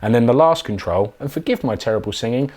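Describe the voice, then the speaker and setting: A man's voice: mid-range voice, animated, fast, a little mellow. A man presenting a casual, chatty product demonstration in an informal way.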